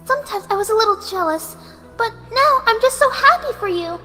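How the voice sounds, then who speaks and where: A woman voicing a sweet cartoon pegasus pony, gentle and slow, with a serene, fanatical menace. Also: extremely high pitch, animated, pitched above the performer's own voice, exaggerated voice. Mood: tender, eerie. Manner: slow, gentle, lovingly eerie delivery. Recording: long reverb over background music.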